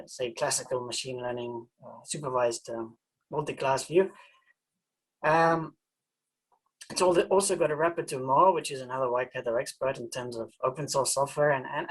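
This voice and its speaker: A man from New Zealand, a university professor, gives an informal presentation talk in a halting way, often repeating words as he searches for them. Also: medium pitch, husky, rough recording.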